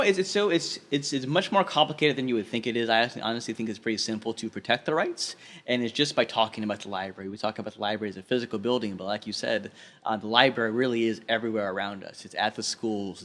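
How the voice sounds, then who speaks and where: Earnest male librarian guest, low-pitched voice, repeats phrases for emphasis, conversational podcast interview. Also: fast talker, a bit bright.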